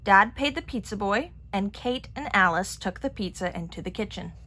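A woman reading a children's picture book aloud in an expressive manner. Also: mid-range voice, normal speed. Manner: expressive, acting out characters' lines. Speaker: storybook reader.

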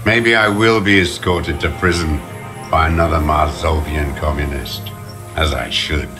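An actor playing a bitter, weary old communist deserter in a video game, ranting with disdain. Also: male voice, rumbling low. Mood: grudging, resigned. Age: older. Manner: embittered, ranting, slow deliberate delivery. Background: music.

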